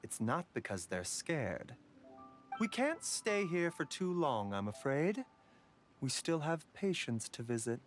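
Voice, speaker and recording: Gentle male doctor, game character, lively intonation, soothing, patient, polite, faint music. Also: a little high, takes it slowly. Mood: reassuring. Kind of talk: video game voice acting.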